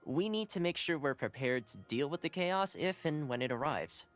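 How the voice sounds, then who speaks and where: A calm young envoy voiced by a man with a mid-pitched voice that rises and falls lively. He stays reassuring even while explaining a crisis. Soft music plays behind. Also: moderate pace, natural voice. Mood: concerned. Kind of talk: game cutscene dialogue.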